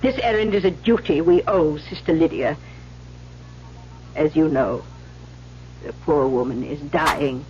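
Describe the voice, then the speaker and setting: An actress playing a stern, weary older Mother Superior, measured and authoritative, in an old-time radio drama. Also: low voice, darkish tone. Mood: firm, commanding. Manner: measured, authoritative, cuts others short. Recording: muffled, hissy old radio recording.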